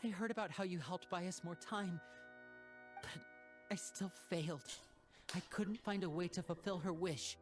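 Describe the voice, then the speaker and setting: A remorseful young girl of the household, voiced by an actress, speaking in an apologetic, earnest way. Her voice sits slightly low for a woman, with faint game music behind. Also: moderate pace, lightly acted.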